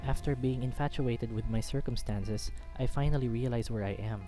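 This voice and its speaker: A man's voice: medium pitch, normal speed. A man reading a first-person story aloud as a dramatic narrator. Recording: background music under the voice. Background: music.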